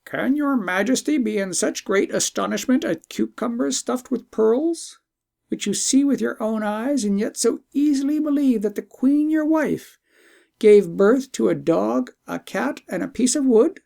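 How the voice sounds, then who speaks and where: An eloquent magical bird, voiced by a storybook narrator speaks out boldly. The voice sits high and swoops with emphasis, formal and declamatory as it proclaims the truth. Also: animated, unhurried, gravelly, dark tone. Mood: reproachful, revealing. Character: enchanted talking bird, eloquent, bold truth-teller.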